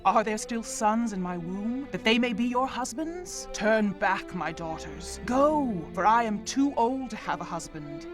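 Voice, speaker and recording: A grieving older widow pleads with sorrow, as an actress plays her in a radio-style drama. Her voice sits mid-pitched, and clear music runs underneath the lines. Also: close to an everyday voice. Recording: music playing behind.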